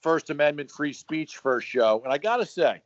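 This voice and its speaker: A middle-aged man co-hosting a podcast, telling stories in a lively, joking, casual way. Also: on the high side, moderate pace, no echo. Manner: animated storyteller, joking. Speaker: podcast co-host. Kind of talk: casual chat. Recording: dry, slightly dull sound.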